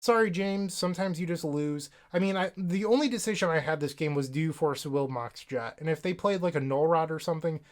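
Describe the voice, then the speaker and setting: A man's voice: on the high side, brisk, few pauses. A young man with a slight cold, casually commenting on his own card game play, thinking aloud with wry asides, recorded at a home desk setup. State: sniffly from a cold. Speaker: gaming content creator.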